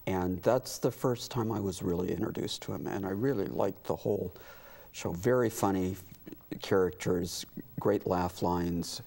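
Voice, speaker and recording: A man talking casually as an interview guest, in a halting, conversational way. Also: deep voice, vocal fry, darkish tone, slightly rough. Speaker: interview guest, community theater director.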